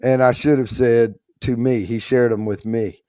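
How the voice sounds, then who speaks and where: A man with a rough, hoarse, worn-out voice, hosting a livestream in rambling, folksy casual chat while trying not to get loud. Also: mid-range voice, fairly even intonation, moderate pace. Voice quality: rough, strained voice. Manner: rambling, folksy, holding back volume. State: hoarse, worn out after allergy attack. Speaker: host of a whiskey giveaway livestream.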